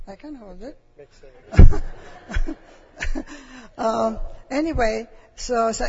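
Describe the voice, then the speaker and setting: Italian-accented woman, gravelly, husky testimony; long reverb, muffled recording. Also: mid-range voice, dark tone. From Italy. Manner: hesitant, searching for words. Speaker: preacher's wife giving testimony. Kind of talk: personal testimony. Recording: dull sound with long hall reverb.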